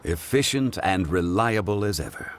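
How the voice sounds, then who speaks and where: A man voicing a courteous, scholarly gentleman in a video game, formal, measured and gracious. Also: sits low, lively intonation, background noise. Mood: calm, gracious. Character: courteous, composed, scholarly gentleman of quiet authority. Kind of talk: English game voice acting.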